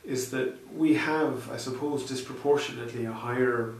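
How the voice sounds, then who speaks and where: A man's voice: mid-range voice, steady tone, normal speed. A man answering interview questions in a hesitant, conversational way, with many fillers and restarts. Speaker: interviewee, software researcher. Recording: echoey room, distant mic, faint noise.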